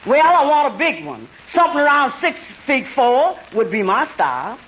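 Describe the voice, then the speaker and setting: A bold, demanding client. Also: female voice, high voice, sing-song, moderate pace, a bit bright. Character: bold, demanding woman wanting a big man. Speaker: client renting an escort. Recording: muffled old radio broadcast, faint hiss.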